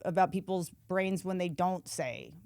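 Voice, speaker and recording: A woman's voice: fairly low pitch, moderate pace, darkish tone, dry recording, studio quality. A woman in her forties hosting a podcast, teasing and blunt, joking at her own expense. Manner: teasing, self-deprecating, blunt. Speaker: podcast host. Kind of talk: casual podcast interview.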